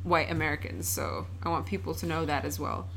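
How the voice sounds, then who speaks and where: A woman's voice: sits low, steady tone, brisk, vocal fry, slight background noise, poor audio. A young woman from Palestine, a podcast guest answering questions in an earnest, thoughtful way with frequent fillers. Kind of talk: casual interview conversation.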